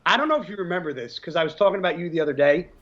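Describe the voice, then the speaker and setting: A man's voice: a little high, rapid-fire, dull audio, a crowd in the background. Blunt, profane bodybuilder in his thirties. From New Jersey. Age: 30s. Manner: blunt, swears often, animated storytelling. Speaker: professional bodybuilder guest. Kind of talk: casual interview chat.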